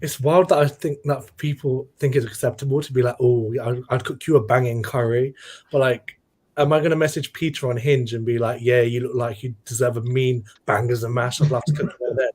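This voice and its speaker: A man's voice: mid-range voice, fairly even intonation, fairly quick, a little mellow. A man of Pakistani heritage hosting a casual chat, friendly and joking, a little scattered.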